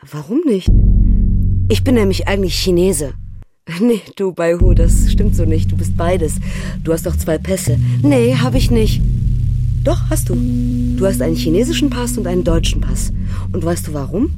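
A woman's voice: on the low side, close to an everyday voice. An actress playing an opinionated German mother, firm and plain-spoken, in a documentary radio play monologue. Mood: playful, insistent. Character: opinionated German mother re-enacting talks with her young son. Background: music.

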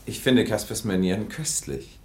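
An actor playing an urbane, amused lord of high nobility in a radio play, with suave, ironic polish. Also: male voice, normal speed, lightly acted. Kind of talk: German radio play acting.

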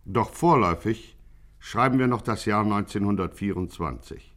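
A man's voice: on the low side, moderate pace, a bit bright, lightly acted. A man playing a pompous, mock-solemn narrator of a satirical 1950s radio gangster story. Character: grandiose, mock-serious male chronicler of a gangster.

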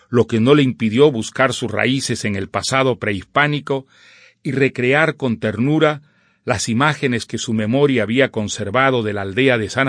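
Male presenter for scripted literary commentary. Measured, erudite delivery, mid-range voice, dry close sound. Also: medium pitch, dry recording. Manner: erudite, measured, formal phrasing. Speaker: presenter introducing a literary radio drama.

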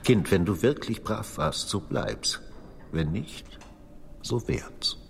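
An actor in a radio play voicing a grave, deliberate Father Superior of a convent with a solemn, measured manner. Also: lowish voice, very animated, gravelly, slight background noise, heavily acted. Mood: grave, admonishing.

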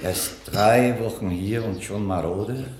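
Gruff prison warder, echoey. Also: male voice, on the low side, slow pace, darkish tone. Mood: suspicious, scolding. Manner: barking, officious, scolding. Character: gruff, rule-bound male prison warder. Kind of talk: 1960s German radio play acting. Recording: echoey, slightly noisy 1960s radio recording.

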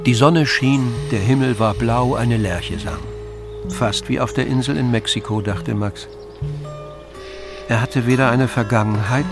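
Creaky-voiced male narrator over music. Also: lowish voice. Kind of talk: scripted literary narration. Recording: music under the voice.

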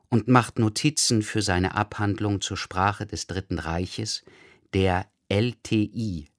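Ein Mann, der sachlich und gemessen einen dokumentarischen Einführungstext vorliest. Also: male voice, on the low side, no room sound, clean studio sound. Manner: measured, factual. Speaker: narrator introducing the programme. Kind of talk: scripted documentary narration.

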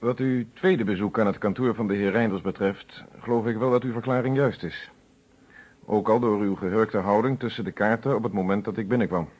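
An actor playing a composed, methodical police inspector in a Dutch detective radio play. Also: male voice, on the low side, a little fast, a little mellow, husky. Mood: formal, probing. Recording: muffled old radio recording.

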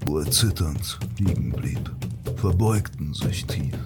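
A man's voice: extremely low pitch, expressive, takes it slowly. A man reading scripted narration for a fantasy audio drama. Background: loud music.

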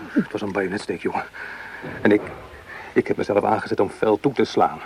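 An actor playing an anguished, protective French army corporal, once a farmer, with an earnest, deliberate delivery in a radio play. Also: male voice, fairly low pitch, darkish tone, close to an everyday voice. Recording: clearly noisy old radio play recording.

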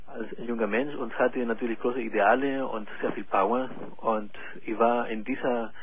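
A man in his forties from Chile, calling in to a radio show and speaking haltingly with many pauses and fillers. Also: medium pitch, steady tone, phone-quality sound, slight background noise. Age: 40s. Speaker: caller. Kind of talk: call-in radio conversation.

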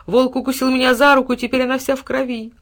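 Woman playing young boy, high-pitched, bright, desperate, pleading, storybook. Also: level tone, fast. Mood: weak, frightened. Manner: pleading cries and weary groans. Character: brave, desperate young boy under attack.

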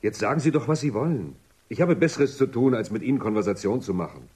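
An actor playing a defiant, dryly ironic sacked thinker in a dystopian radio drama, with a husky, insistent delivery. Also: male voice, medium pitch, animated, quick pace, darkish tone. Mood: indignant, defiant. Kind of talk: German radio drama acting.

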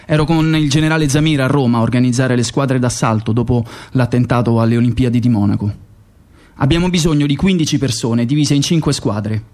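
Deliberate, cold mercenary commander played by a man. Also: mid-range voice, darkish tone, lightly acted. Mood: cold, self-assured. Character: cold mercenary Mossad commander, boastful and matter-of-fact. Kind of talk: radio theatre monologue.

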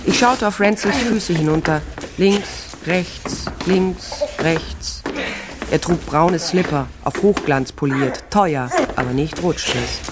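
A woman's voice: sits low, slow pace, noisy background. An actress playing a tough, wry female private detective, narrating a crime radio play in a terse, observant way. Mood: tense, observant.

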